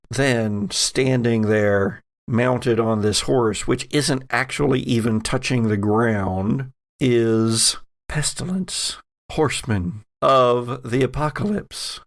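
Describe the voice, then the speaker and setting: A man narrating a tabletop horror game as game master, dramatic in his scene-setting with wry asides. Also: medium pitch.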